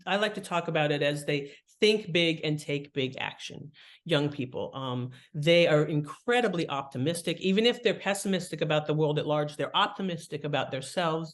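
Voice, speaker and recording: A woman guest explaining her craft in conversational interview talk, with an animated manner. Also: low-pitched. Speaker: guest, fiction writing author.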